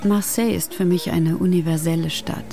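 A woman's voice: lowish voice, steady tone, fairly quick, creaky, mellow, soft background music. A woman reading a translated interview as a voice-over.

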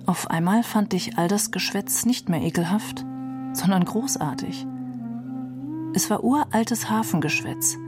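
A woman giving a literary reading of a novel for a radio feature. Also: mid-range voice. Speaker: reader of a novel.

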